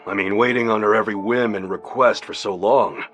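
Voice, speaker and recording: An actor playing a skeptical police detective who questions a suspect in a dry, probing manner in a radio drama. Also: male voice, fairly low pitch, steady tone, a little fast, fairly bright tone, close to an everyday voice. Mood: suspicious.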